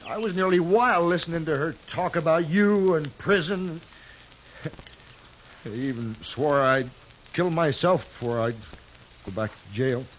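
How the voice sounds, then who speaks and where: A man playing a timid, simple young cowboy outlaw with halting, pleading speech in a 1950s radio western. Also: fairly high pitch, close to an everyday voice. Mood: relieved, sheepish. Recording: dull lo-fi old radio recording, faint noise.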